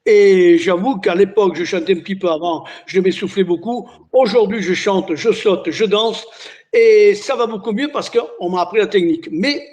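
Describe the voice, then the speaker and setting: An older man born near Naples whose first language is Italian, a singer telling stories in a relaxed interview, with many restarts. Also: high voice, normal speed. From Italy. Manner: chatty storyteller, many restarts and "hein". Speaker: singer and entertainer, guest. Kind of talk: casual interview chat.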